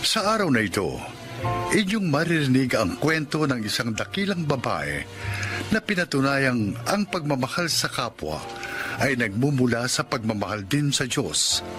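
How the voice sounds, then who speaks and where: A man introducing the story in a solemn, measured way. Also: medium pitch, very animated, music in the background. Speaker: narrator. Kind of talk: dramatic introduction.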